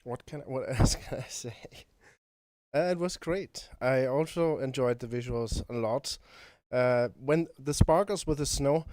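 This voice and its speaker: A man talking casually as a guest composer, in a halting, hesitant way with repeated words. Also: mid-range voice, darkish tone. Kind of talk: casual chat.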